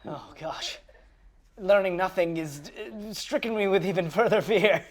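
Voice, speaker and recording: A woman's voice: medium pitch, a little slow, a little mellow. A woman playing a tabletop game, bantering casually in a wry, self-mocking way.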